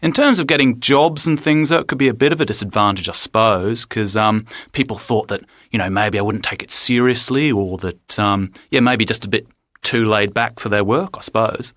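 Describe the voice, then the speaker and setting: A man with an Australian accent telling his story in a relaxed, easygoing, self-deprecating way. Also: on the low side, moderate pace, dry recording, clean studio sound. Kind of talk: unscripted personal account.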